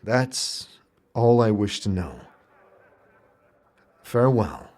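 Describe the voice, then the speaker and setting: A scarred wanderer, played by a man with a lowish voice who speaks mildly and stammers now and then. His tone moves lively between notes, in a fan audio drama. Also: slow. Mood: hesitant. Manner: hesitant, stammering, mild. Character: scarred amnesiac man, hesitant and polite.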